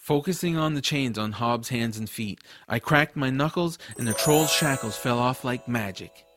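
A man playing a casual, excitable boy hero, telling a fantasy adventure in the first person. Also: medium pitch, moderate pace, over music, close to an everyday voice. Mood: narrating. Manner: casual, boyish phrasing. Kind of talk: first-person audiobook narration and drama.

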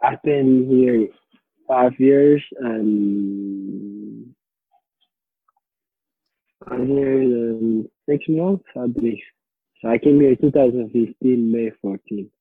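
Young man, interviewee, halting and casual, husky, heard over a phone line. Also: mid-range voice, no echo. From Somalia. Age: late teens. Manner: halting, repeats words while searching for them. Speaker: interviewee, high school student. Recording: dry, tinny phone or call line.

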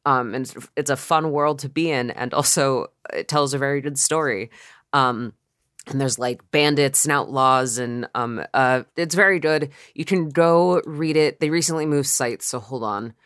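A podcast co-host chatting casually and informally, haltingly circling back mid-thought. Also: mid-range voice, fairly bright tone, smooth, no room sound, studio quality. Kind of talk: casual chat.

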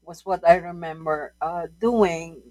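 A woman in her sixties, a food writer and guest, recalling her life at a halting pace with frequent pauses and restarts. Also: lowish voice, warm tone. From Philippines. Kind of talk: casual interview.

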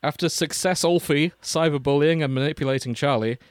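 A man's voice: a little high, brisk, no echo, studio quality. Sarcastic man reading aloud. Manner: sarcastic, swears freely. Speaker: podcast co-host reading fan fiction aloud. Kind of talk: casual chat with dramatic reading.

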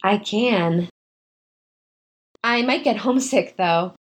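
A woman's voice: mid-range voice, slow pace, slightly rough. A woman acting in a modern audio drama as a proud, guarded, wistful young writer, with a dry, hesitant, naturalistic delivery.

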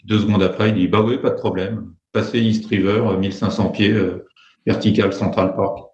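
A man's voice: fairly low pitch, fairly even intonation, moderate pace, darkish tone, muffled recording, slight background noise. Hesitant French-accented man, roomy sound. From France. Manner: hesitant, many fillers and restarts. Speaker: private pilot guest. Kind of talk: conversational storytelling.

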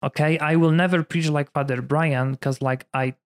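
A man in his thirties from Poland, a priest speaking English with a Polish accent in a relaxed conversation, full of "you know" fillers. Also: fairly high pitch, moderate pace. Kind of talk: casual conversation.

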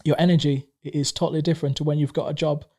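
A man's voice: on the high side, little pitch movement, quick pace, warm tone. A man in his thirties who grew up in Tanzania and speaks Swahili natively, chatting casually as a guest, rambling with many fillers and restarts. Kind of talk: casual conversation.

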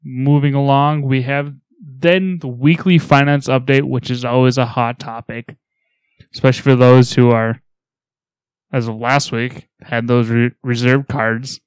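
A man's voice: mid-range voice, fairly even intonation, unhurried, clear, resonant tone, no echo, rough recording. A man co-hosting a podcast, giving a casual rundown in an understated manner with dry humor. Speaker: podcast co-host.

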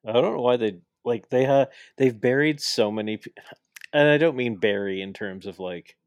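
A man's voice: medium pitch, normal speed, no room sound, dull audio. A man hosting a podcast in a casual, rambling way with many fillers and restarts. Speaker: podcast host. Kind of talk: casual chat.

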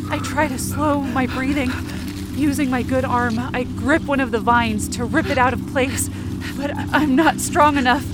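A woman acting an anxious, self-blaming, injured character who narrates her ordeal in short, halting phrases for an audio drama. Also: high voice, very noisy, natural voice. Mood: tense dread. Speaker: fiction podcast performer.

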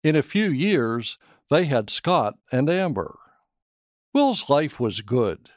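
A man reading his own short story as scripted narration, in a measured, expressive storytelling manner. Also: mid-range voice, no room sound, studio quality.

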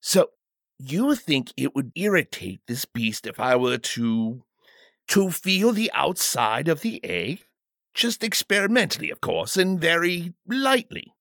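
A man's voice: medium pitch, wildly swooping pitch, a little slow, breathy edge, no echo, full character voice. A man voicing a flustered, petulant and curious king in a storybook, with a fussy, pleading manner. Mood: hesitant, curious.

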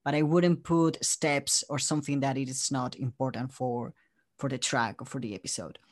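Guy on a podcast, casual chat, animated storyteller. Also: medium pitch, moderate pace. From Spain. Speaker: interview guest, audio drama creator.

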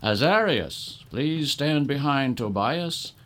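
Solemn patriarch played by a man, audio drama, unhurried and ceremonial, with wide swings in pitch. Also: expressive, a bit bright. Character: solemn father of the bride, plain-spoken patriarch.

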